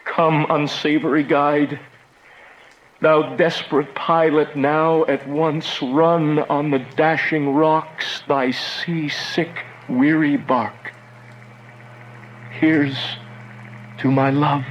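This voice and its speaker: A young tragic lover of Shakespearean radio drama, passionate and despairing. He declaims his lament very slowly in a somewhat high male voice, with faint noise behind him. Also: level tone, highs cut off. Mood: resolved despair.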